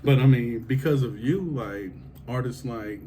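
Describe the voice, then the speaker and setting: A man's voice: unhurried, mellow. A man, hosting a casual interview and joking and laughing often. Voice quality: deep voice. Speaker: podcast host. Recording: noisy background.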